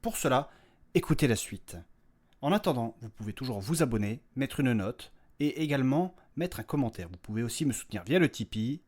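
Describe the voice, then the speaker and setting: A man's voice: medium pitch, expressive, fairly quick, a little mellow. A man hosting an audio fiction series, giving a scripted outro narration as its creator.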